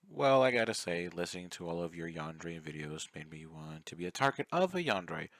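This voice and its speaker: Man acting clingy yandere fan, low voice, intimate, coaxing, courteous menace, dry close sound, ASMR roleplay. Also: fairly bright tone, no room sound. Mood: confessional, adoring. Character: obsessive, clingy, soft-spoken young male yandere fan, politely menacing.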